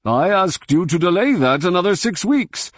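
A man's voice: sits high, sing-song, moderate pace, no echo, studio quality, voice pushed up. A man voicing an indignant, demanding alien scientist.

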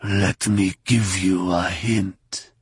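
A man voicing a menacing, contemptuous alien villain with a growling, raspy voice in an audiobook. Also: sits low, level tone, no room sound, full character voice. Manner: slow, menacing, sneering.

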